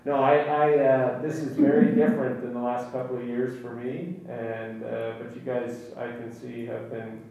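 A man's voice: little pitch movement, normal speed, creaky, few pauses, warm tone. Older man, distant in an echoey room. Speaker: theatre group member. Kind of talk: casual informal talk. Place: echoey room, far from mic. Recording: very echoey, distant mic, noisy rumble.

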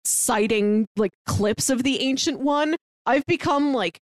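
Brief: casual woman co-host, fairly high voice, dry close sound, trivia-sharing chatter. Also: no room sound. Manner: explains with trivia, quick asides. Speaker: podcast co-host. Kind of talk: casual movie-review chat.

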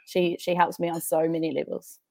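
A woman's voice: fairly low pitch, level tone, a little fast, vocal fry, darkish tone, no echo. A young woman with a New Zealand accent, chatting as a podcast guest, often laughing and restarting her sentences. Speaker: podcast guest, CrossFit athlete. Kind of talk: casual interview chat.

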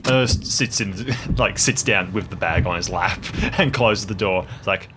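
A man playing a tabletop game, describing his character's actions in casual, halting fragments. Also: low voice, background noise. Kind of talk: casual improvised game narration.

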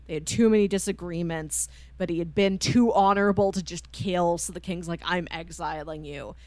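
A woman's voice: fairly low pitch, normal speed, a little mellow, dry recording. A woman co-hosting a casual discussion, retelling a story in a chatty way and acting out the characters' lines. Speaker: co-host of a manga discussion show. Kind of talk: casual book discussion with spoilers.

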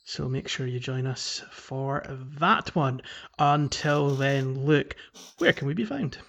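A man's voice: medium pitch, animated, takes it slowly, creaky, fairly bright tone. A man hosting a gaming podcast in a casual, chatty and jokey way. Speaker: podcast host.

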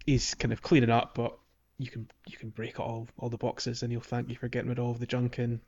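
A man chatting on a gaming podcast in a loose, rambling way, often stopping to restart his thoughts. His pitch sits mid-range for a man, and the recording is slightly muffled and lo-fi. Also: monotone, fairly quick, darkish tone. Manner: meandering, many fillers and restarts, laughs often. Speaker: podcast host. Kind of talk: casual gaming podcast chat.

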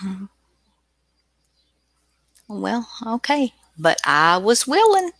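Creaky, bright-voiced woman hosting. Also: fairly high pitch, sing-song, drawn-out words, no echo, studio quality. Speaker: radio show host. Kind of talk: casual unscripted chat.

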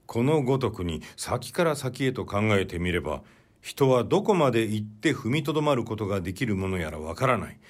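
A man reading a literary short story aloud as a narrator, in a measured storytelling delivery. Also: on the low side.

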